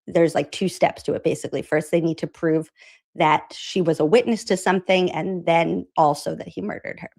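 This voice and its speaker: A woman's voice: lowish voice, fairly even intonation, moderate pace, gravelly, darkish tone. A woman from Massachusetts hosting a political commentary show, chatty and sarcastic, laughing often. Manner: sarcastic, incredulous. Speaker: host.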